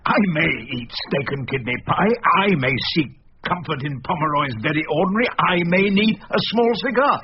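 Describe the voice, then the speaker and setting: A man's voice: fairly high pitch, natural voice. An older man with a British accent, acting a defiant, wry old barrister in a radio drama with eloquent, pompous delivery. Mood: indignant. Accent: British English. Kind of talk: radio drama acting and first-person narration. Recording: dull radio recording with background noise.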